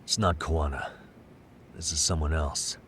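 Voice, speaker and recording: An actor playing a calm, analytical male detective in a video game, speaking in a measured, thoughtful way. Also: rumbling low, a little hiss or hum. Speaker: detective lead.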